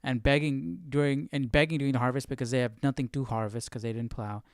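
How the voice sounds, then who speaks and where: A man's voice: mid-range voice, steady tone, quick pace, clear, resonant tone, no echo, studio quality. A man hosting a live study session, explaining passages casually and often checking for agreement with short tag questions. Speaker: Bible study livestream host. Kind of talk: casual live commentary on verses.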